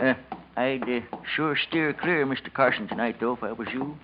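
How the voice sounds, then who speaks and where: An actor voicing a folksy, gossipy, eager night elevator operator with a hesitant, colloquial way of talking in a 1940s radio drama. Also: male voice, medium pitch, a little fast, close to an everyday voice. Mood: folksy, wary. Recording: noisy, dull vintage radio recording.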